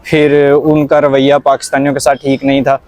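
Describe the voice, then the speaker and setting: A man's voice: mid-range voice, completely flat delivery, normal speed, a little mellow, no room sound. A young Pakistani man with a Punjabi accent hosting a casual conversational vlog, mixing Punjabi and English words into his talk. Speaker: vlog host.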